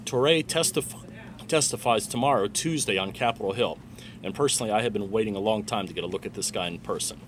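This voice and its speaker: An American man with a fairly low voice delivers casual commentary like a blogger talking to viewers. His manner is wry and a touch theatrical. Faint outdoor urban noise and traffic sit behind the voice. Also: quick pace. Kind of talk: casual commentary with dramatic read-aloud of emails.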